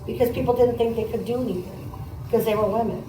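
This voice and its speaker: A woman teacher reading a picture book aloud, pausing to comment and put questions to her listeners in a conversational way. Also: a little high, steady tone. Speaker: teacher demonstrating a read aloud to pupils. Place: echoey indoor classroom. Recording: roomy, distant mic, faint background noise.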